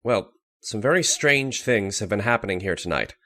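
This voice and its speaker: A man's voice: fairly low pitch, animated, normal speed, sharp tone. A man playing a tense, guarded doctor in an old-time radio horror play, speaking in an urgent, clipped, earnest way. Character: tense, guarded male doctor in a mystery thriller.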